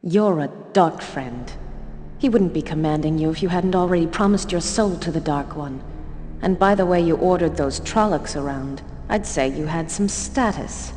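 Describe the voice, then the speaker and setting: An actress playing a stern, commanding sorceress in a fantasy video game, cold and scornful in manner. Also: female voice, deep voice, moderate pace, a little mellow, slight background noise, lightly acted. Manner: imperious, scornful. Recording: long stone-hall reverb under music.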